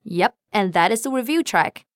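Female co-host with a Cantonese accent, smooth resonant voice, dry studio sound, careful slow model phrases. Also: mid-range voice, lively intonation, dry recording. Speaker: language lesson co-host. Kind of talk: scripted language lesson.

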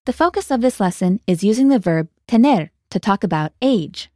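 Woman hosting a lesson with lively pitch. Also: normal speed, soft background music. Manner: guides and explains, translating each phrase. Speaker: host of a language lesson. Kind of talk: scripted language lesson.

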